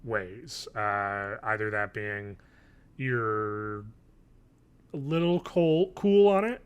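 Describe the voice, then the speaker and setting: A man's voice: on the low side, drawn-out words, clean tone, lo-fi sound. A man in his thirties co-hosting a casual podcast review, talking in a hesitant, meandering way and often correcting himself. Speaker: podcast co-host. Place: living room at home, indoors. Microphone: video call, wearing headphones.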